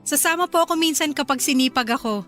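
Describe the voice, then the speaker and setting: An actress playing a sweet, bashful and dutiful young newlywed wife in a radio drama, with a Filipino accent. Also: female voice, high-pitched, quick pace, fairly bright tone, faint music behind. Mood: polite, deferential.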